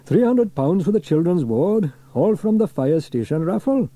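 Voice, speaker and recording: Man playing a hearty fireman, pitched up. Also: sing-song, normal speed, mellow, dry recording. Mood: cheerful. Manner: cheerful, dependable. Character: hearty, reassuring adult male fireman hero.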